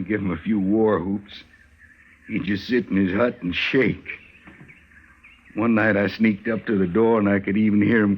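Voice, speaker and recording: An actor playing a callous, swaggering frontier bully in a radio western, with an American accent and folksy, sneering talk. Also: male voice, lowish voice. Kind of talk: old-time radio western acting. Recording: dull old radio recording.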